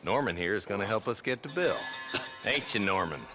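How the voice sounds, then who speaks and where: A man plays a stern old-West lawman from a video game, with an American accent. His voice is fairly low and moves expressively, gruff and commanding, with music clearly underneath. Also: animated, a little fast, natural voice, poor audio. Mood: gloating, dry. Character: stern, world-weary frontier lawman marshal. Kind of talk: cinematic game dialogue.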